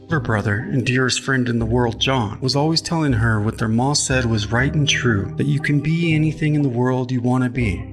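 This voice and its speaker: Guy reading a novel for a fiction podcast, medium pitch, measured storytelling, guitar music behind him. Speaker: author narrating a fiction podcast. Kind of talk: scripted literary audiobook narration.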